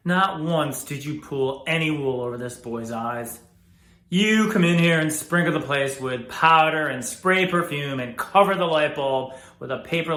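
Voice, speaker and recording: A man playing a brash, hot-tempered working-class man in a stage drama, loud and taunting. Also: medium pitch, close to an everyday voice. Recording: echoey room.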